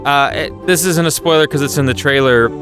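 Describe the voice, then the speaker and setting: A man on a podcast panel giving a casual film review in a halting, rambling way. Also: a little high, music in the background.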